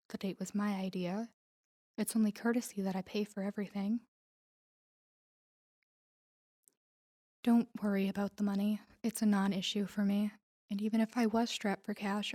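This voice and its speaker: A woman performs a wealthy, self-assured girlfriend in an intimate roleplay audio drama. Her tone is mellow and relaxed at a middling pitch. She teases playfully and talks smoothly, like a young woman used to getting what she wants. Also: natural voice. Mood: warm reassuring. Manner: teasing, smooth-talking, playful.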